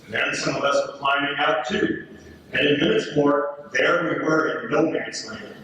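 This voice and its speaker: A man's voice: a little high, moderate pace, pushed and bright. A man playing a British soldier earnestly narrating a wartime letter in a readers theater performance. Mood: storytelling. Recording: very echoey room, distant mic, faint noise.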